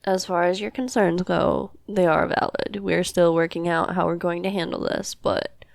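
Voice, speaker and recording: A woman plays a devoted, easygoing girlfriend in an audio drama. Her voice is slightly low and gravelly with fry. She talks casually and reassuringly, in a very dry recording. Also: steady tone, moderate pace, dry recording. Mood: gently reassuring. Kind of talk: amateur audio roleplay acting.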